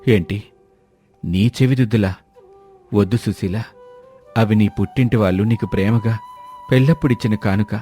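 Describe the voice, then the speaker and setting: A man's voice: fairly low pitch, moderate pace, long pauses, warm tone, faint music behind, natural voice. A man voicing a humble, worried uncle of modest means, speaking politely and in a subdued manner. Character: humble, worried poor uncle, proud and kindly.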